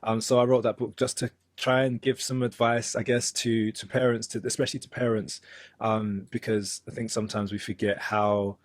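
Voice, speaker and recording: A man's voice: lowish voice, flat delivery, normal speed, no echo. A man in his thirties with a London accent, a musician and author talking thoughtfully as an interview guest, with frequent ums and restarts. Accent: London English. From London, England. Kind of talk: relaxed conversational interview.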